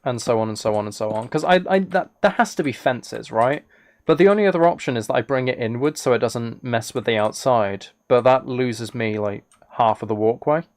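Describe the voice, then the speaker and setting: A man's voice: mid-range voice, a little fast. A man live streaming a game, rambling and thinking aloud as he chats casually with viewers. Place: indoors at a computer, keyboard clicks behind.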